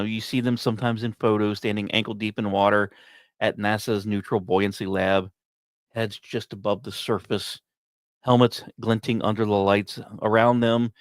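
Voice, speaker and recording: A man hosting a podcast, reading scripted space and science narration with occasional asides, in dramatic storytelling phrases broken by short pauses. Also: fairly low pitch, no room sound, clean studio sound. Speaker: podcast host.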